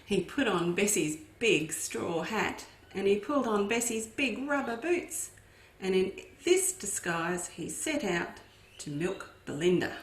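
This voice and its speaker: Slow, expressive storytime reader. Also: female voice, mid-range voice. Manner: expressive, with asides to young listeners. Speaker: children's educator. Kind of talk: storytime read-aloud. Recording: echoey room.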